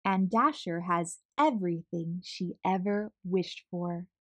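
A woman narrating a children's storybook as a read-aloud host, expressive, measured and clearly enunciated. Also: lowish voice.

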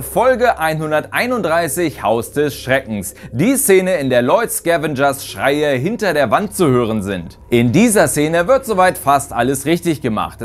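A man in his thirties delivering scripted, articulate commentary as a video host. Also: fairly high pitch. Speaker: video host presenting a ranking. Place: small home studio room. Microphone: lapel mic. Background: eerie background music.

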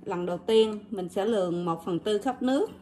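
A woman's voice: mid-range voice, normal speed, warm tone, a little hiss or hum, low-quality recording. A woman with a Southern Vietnamese accent talks viewers through a recipe step by step, easy and conversational. Her voice sits in the middle of the range. Clinking dishes and utensils can be heard plainly around her in a home kitchen. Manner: chatty, addresses viewers directly, step-by-step. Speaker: cooking vlogger. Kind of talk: casual kitchen demonstration.

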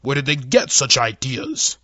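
A man's voice: medium pitch, big pitch swings, measured pace, pushed and bright, dry recording, full character voice. A man voicing a furious alien fleet admiral in a theatrical science fiction audio story, growling and bombastic. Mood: shocked, demanding.

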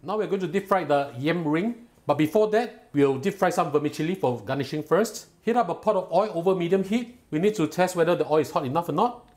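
A middle-aged man hosting a cooking show, explaining each step calmly and patiently in a home kitchen. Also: on the high side, fairly quick. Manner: calm, patient and instructive, enthusiastic when tasting.

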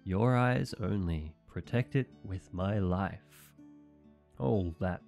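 A man's voice: sits low, slow pace, vocal fry, a little mellow, faint music behind, exaggerated voice. A man playing a sweet himbo knight. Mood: cheerful. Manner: earnest, naive, puzzled. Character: sweet dim-witted young knight champion, loyal. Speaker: voice actor. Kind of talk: audio roleplay monologue.

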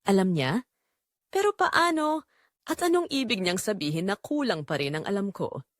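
A woman's voice: medium pitch, very animated, normal speed, no echo, studio quality, lightly acted. A Filipino woman voicing an anxious young son's hesitant, questioning inner thoughts in an audio drama. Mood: alarmed doubt. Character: troubled, anxious young son torn by a secret.

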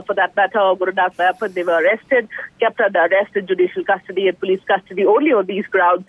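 A woman's voice: mid-range voice, no echo. A woman reporter with an Indian accent giving a wordy, hesitant, explanatory live news report by phone. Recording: dry, narrow tinny phone line.

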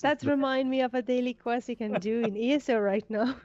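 A woman co-hosting a casual game webcast on a headset mic at home, eager and quick to agree. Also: fairly high pitch, darkish tone, muffled recording. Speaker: co-host. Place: home room. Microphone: headset with boom mic.

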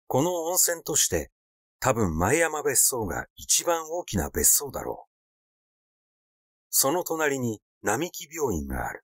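A man reading a literary story aloud as a scripted narration, measured and expressive in his delivery. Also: medium pitch, dry recording, studio quality. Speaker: audiobook reader.

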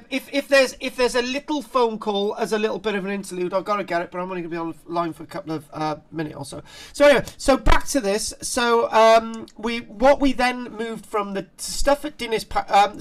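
Chatty, halting South Welsh male lecturer. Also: high voice. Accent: South Wales. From Barry, south Wales. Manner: chatty, jokey, digressive, halting. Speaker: archaeology lecturer. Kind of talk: informal online lecture, reading aloud and commenting. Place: indoor room, on a group video call.

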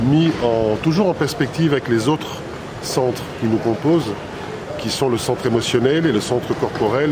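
A man in his fifties, a poet and publisher, answering interview questions thoughtfully with many hesitations and restarts. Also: mid-range voice. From Italy and Belgium. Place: city square outdoors, traffic nearby. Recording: outdoor street noise.

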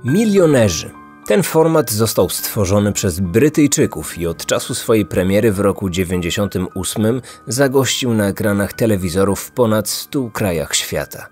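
A man's voice: fairly low pitch, animated, normal speed, a little mellow. A man from Poland in his thirties narrating a scripted story as a podcast host. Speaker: true crime podcast host. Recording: music bed behind the voice. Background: background music.

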